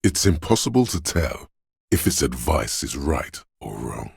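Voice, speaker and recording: Game narrator casting: menacing primal instinct, gravel-heavy male voice, unhurried, deliberate, very dry close sound. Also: very animated, no room sound, exaggerated voice. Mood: ominous. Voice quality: gravelly, husky, very deep. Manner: brooding, deliberate, ominous. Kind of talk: dramatic second-person game narration.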